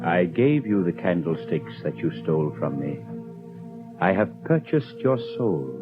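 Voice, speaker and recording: A man's voice: low-pitched, very animated, unhurried, music in the background. A man playing a solemn, merciful bishop in a 1930s radio drama, speaking in a priestly manner. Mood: solemn, blessing. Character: solemn, merciful male bishop blessing a thief. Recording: muffled lo-fi 1930s radio recording.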